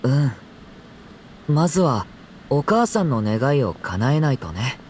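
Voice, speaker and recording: A man playing a gentle, earnest young dragon in human form, acted in anime game style. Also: mid-range voice, sing-song, moderate pace, slight background noise, natural voice. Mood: concerned.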